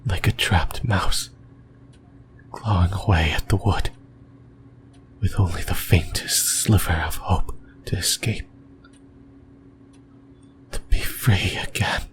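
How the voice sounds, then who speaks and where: A man playing a possessive, lonely sleep paralysis demon in a creepy ASMR roleplay, whispering breathily in slow, halting phrases. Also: low-pitched, steady tone, slight background noise. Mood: desolate, yearning. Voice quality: breathy, mostly voiceless whisper. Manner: slow, deliberate, halting phrases with long pauses.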